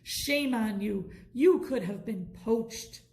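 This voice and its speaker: A woman's voice: a little high, takes it slowly, darkish tone. A woman voicing an anxious, emotional penguin parent fretting over his egg in a children's storybook. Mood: cross scolding. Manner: exclaiming, emotional.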